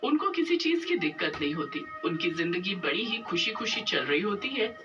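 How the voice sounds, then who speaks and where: A woman reading scripted narration for an animated story. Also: medium pitch, normal speed, far from the mic, highs cut off. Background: music.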